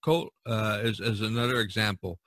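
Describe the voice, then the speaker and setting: Bright-voiced man hosting a podcast. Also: on the low side, fairly even intonation, moderate pace, dry recording. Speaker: podcast host. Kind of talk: casual podcast discussion.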